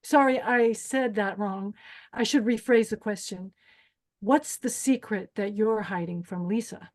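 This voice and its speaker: A woman's voice: mid-range voice, lightly acted. Woman playing a bossy, menacing female pageant host. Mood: brisk, probing. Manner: brisk, commanding. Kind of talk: screenplay table read acting.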